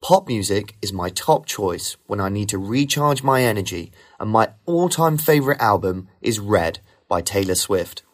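A man reading rehearsed model answers for a speaking test in a smooth, even way. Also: fairly low pitch, moderate pace, few pauses. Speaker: sample test candidate.

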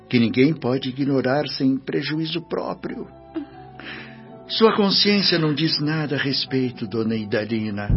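Radio drama role: male actor as paternal Brazilian spirit minister, gently reproving, widely swinging intonation, slightly muffled sound over music. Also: medium pitch, close to an everyday voice. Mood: gently admonishing. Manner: measured, paternal, admonishing, with rhetorical questions.

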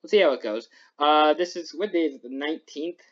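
A man's voice: fairly high pitch, fairly quick, highs cut off. A young man hosting a podcast, chatting casually about sports in a rambling, self-interrupting way. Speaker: podcast host.

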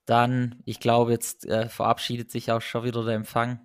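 A man with a regional German dialect hosts a podcast in a casual chat. His voice sits at a middling pitch, and he talks in an easygoing, hesitant way with loosely built sentences. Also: completely flat delivery, moderate pace, smooth. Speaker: podcast host.

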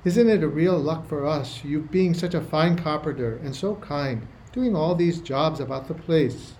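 A man's voice: on the high side, a little slow, a little mellow, a little hiss or hum, close to an everyday voice, poor audio. A man playing a kindly, chatty rustic housewife in an amateur radio play, with a homely, fussing manner. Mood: chatty, wondering. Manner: homely, fussing, folksy dialect.

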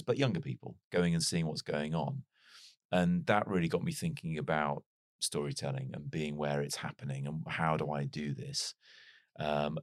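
Very deep-voiced reflective British man. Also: no room sound, studio quality. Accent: mild British English, not strongly Welsh. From North Wales. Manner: reflective, wry, self-deprecating. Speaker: podcast guest. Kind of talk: casual interview storytelling.

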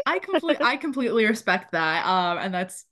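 A young woman hosts a casual book podcast with easy enthusiasm. She speaks at a middle pitch and fills her questions with ums and likes as she goes. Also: big pitch swings, moderate pace, fairly bright tone. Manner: chatty, many fillers, enthusiastic. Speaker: podcast host.

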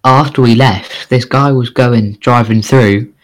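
A man's voice: medium pitch, fairly even intonation, measured pace. A man co-hosting a casual podcast, reading odd news as quiz questions in a joking, bingo-host manner.

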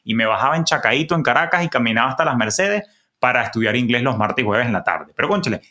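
A man with a Venezuelan accent hosts a finance podcast. He talks in a lively, colloquial, playful way. His voice sits at an ordinary middle pitch for a man. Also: fast talker. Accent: Venezuelan Spanish. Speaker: podcast host. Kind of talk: personal finance podcast interview.

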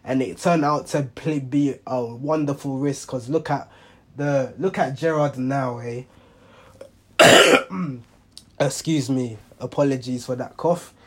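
A young man with a hoarse voice, hosting a football reaction show in a rambling, casual monologue full of ums and self-corrections. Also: mid-range voice, slow, a bit bright. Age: young adult. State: hoarse, under the weather. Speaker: football reaction channel host.

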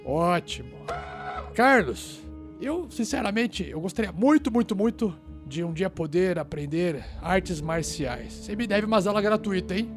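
A man plays a mercenary hacker recluse in a tabletop game. He is sardonic and theatrical, with a fairly high voice over clear background music. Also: animated, a little slow. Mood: smug, businesslike. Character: cocky, mercenary male hacker shut-in in wheelchair.

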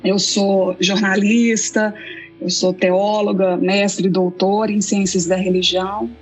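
Husky mineira woman, 40s, storytelling guest on a podcast. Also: medium pitch, flat delivery, measured pace, soft background music, low-quality recording. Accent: Minas Gerais Portuguese. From Belo Horizonte, Brazil. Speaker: guest theologian. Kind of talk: reflective interview answers.

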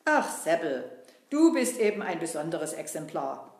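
A woman voicing a cheeky, lively puppet boy who chats and teases, talking to the audience. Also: sits low, measured pace, full character voice. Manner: chatty, teasing, addresses the audience. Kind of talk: puppet-show acting. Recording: roomy echo.

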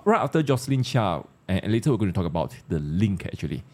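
A man's voice: sits low, very animated, quick pace, a little mellow. A man with a Malaysian accent hosting a casual panel discussion, conversational and putting questions to his co-panelists. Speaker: discussion host.

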